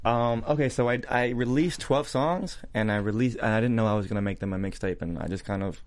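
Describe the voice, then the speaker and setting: A man's voice: lowish voice, fairly quick. A male musician chatting loosely as an interview guest, rambling with fillers and restarts. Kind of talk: casual interview chat.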